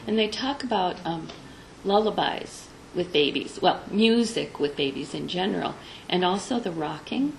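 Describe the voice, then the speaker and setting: A woman with a gravelly edge to her voice leads a group discussion, explaining ideas and passing the turn to others by name. Faint noise runs underneath. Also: lowish voice, lively intonation, normal speed, rough recording. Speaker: discussion leader.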